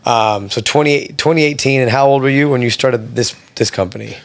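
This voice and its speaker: A man's voice: medium pitch, fairly quick, vocal fry. A man hosting a casual podcast interview, asking easygoing questions and reacting briefly. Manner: easygoing, short reactions like "damn" and "for sure". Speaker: podcast host.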